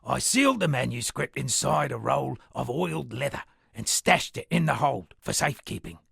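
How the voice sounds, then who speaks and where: Gravelly, theatrical shipwrecked sea captain. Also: male voice, medium pitch, big pitch swings, normal speed, a bit bright, dry recording, clean studio sound, heavily acted. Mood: wistful, wry. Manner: florid, dramatic, alliterative declaiming. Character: eccentric male shipwrecked sea captain and pompous amateur poet. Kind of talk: theatrical video game character dialogue.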